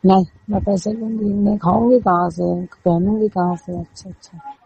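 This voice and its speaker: A woman's voice: mid-range voice, steady tone, moderate pace, vocal fry, warm tone, slightly rough. A woman playing a coy, stubborn call girl on a casual phone call, teasing and coaxing as she repeats herself. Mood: insistent, coy. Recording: muffled, noisy phone-call recording with clicks.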